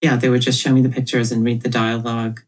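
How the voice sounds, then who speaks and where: A woman's voice: a bass voice, little pitch movement, fast, a little hiss or hum. A woman comic creator, raised in Ethiopia, talking thoughtfully as a podcast guest and laughing often. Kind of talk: casual podcast interview.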